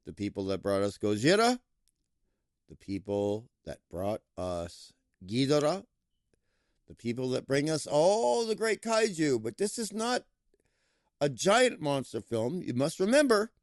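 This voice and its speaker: A man reviews a film in a playful, animated way. His pitch jumps all over as he drags out words for emphasis. He talks slowly and leans into every pause, and the sound is dry and close. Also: no room sound. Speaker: movie reviewer. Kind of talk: casual enthusiastic film review.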